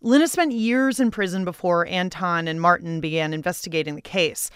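A woman's voice: lowish voice, brisk, smooth, no echo. Playful American woman hosting, dry studio sound. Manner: playful, conversational. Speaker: radio show host. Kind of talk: scripted radio narration.

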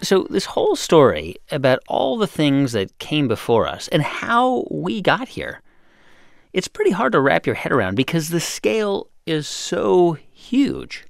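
A male podcast host narrates and explains in a lively storytelling way. His voice is a bit high and gravelly, with very animated pitch. Faint music runs underneath. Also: unhurried, no room sound, clean studio sound. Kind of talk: scripted narration and interview.

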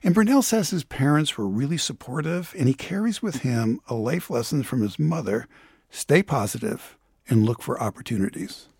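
A man's voice: mid-range voice, expressive, moderate pace, darkish tone, husky, dry recording, clean studio sound. An American man telling stories as a radio contributor in conversational reporting style.

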